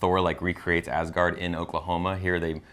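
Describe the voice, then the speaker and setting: A man's voice: sits low, flat delivery, normal speed, a bit bright. A man co-hosting a casual film discussion, enthusiastic and slangy in the way he talks. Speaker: co-host of a movie review show.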